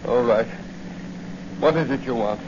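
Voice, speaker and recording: A man's voice: mid-range voice, unhurried, close to an everyday voice. An actor playing an indignant, upright commissioner and worried father in a blustering 1940s radio style. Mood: indignant, alarmed. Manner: blustering, demanding. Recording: muffled, very noisy old radio recording.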